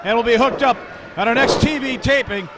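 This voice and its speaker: A man's voice: extremely high pitch, fairly quick, background noise. A man giving color commentary on a wrestling match, earnest and opinionated.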